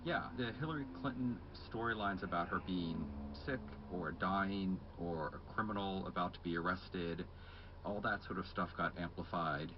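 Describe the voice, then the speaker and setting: Man, journalist, very low voice, measured, explanatory, muffled noisy audio. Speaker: journalist guest. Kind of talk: radio interview.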